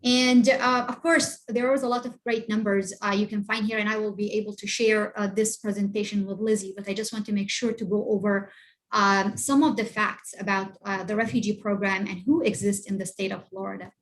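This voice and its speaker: A middle-aged woman from Iraq, a refugee organizer, giving a presentation over a video call and filling pauses with many uh and um sounds. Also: mid-range voice, flat delivery, rough recording. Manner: halting, many uh and um fillers. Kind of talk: online presentation with shared slides.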